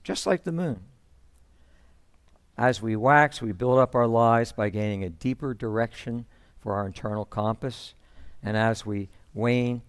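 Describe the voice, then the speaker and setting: A man playing a reflective, sentimental storyteller, telling a first-person monologue in measured phrases. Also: medium pitch, smooth, no room sound, lightly acted. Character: reflective, sentimental male storyteller recalling his upbringing.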